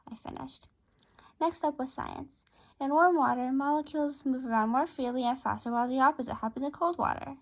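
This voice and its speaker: A woman with a high-pitched voice reads a first-person story aloud. She narrates it as written, occasionally tripping over a phrase and going back over it. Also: low-quality recording. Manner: stumbles and rereads phrases. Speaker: author reading own story aloud.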